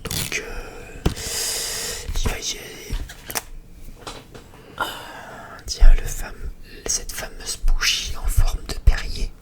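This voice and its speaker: A man's voice: drawn-out words, long pauses. A man whispering breathily in a casual, unscripted ASMR chat, rambling and hesitating as he talks. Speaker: ASMR video creator.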